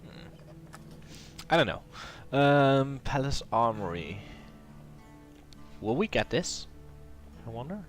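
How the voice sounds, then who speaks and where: A man's voice: medium pitch, very animated, takes it slowly, long pauses, faint music behind. A man with a cold and a nasal voice, hosting a casual gameplay commentary and thinking aloud with plenty of hesitation. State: has a cold. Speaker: let's play host.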